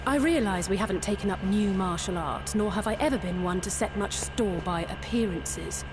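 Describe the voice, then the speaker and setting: A woman's voice: medium pitch, normal speed, noisy background, natural voice. A woman playing an earnest, restless, proud young fighter in a video game, speaking formally and frankly. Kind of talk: scripted video game voice acting. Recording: music behind the voice.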